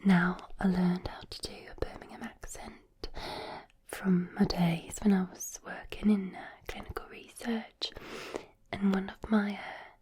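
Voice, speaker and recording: Slow whispering Scottish woman. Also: medium pitch, little pitch movement. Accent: Glaswegian Scottish. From Glasgow, Scotland. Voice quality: breathy, unvoiced whisper. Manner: soothing, hushed, informal. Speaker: ASMR content creator. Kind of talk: whispered ASMR accent demonstration.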